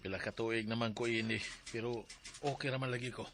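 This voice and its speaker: A man's voice: medium pitch, steady tone, fairly quick, a bit bright, slight background noise, close to an everyday voice. A man acting a stubborn Cebuano father in his sixties who narrates his own story in a radio drama. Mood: casual, dismissive. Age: 60s. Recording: music bed behind the voice.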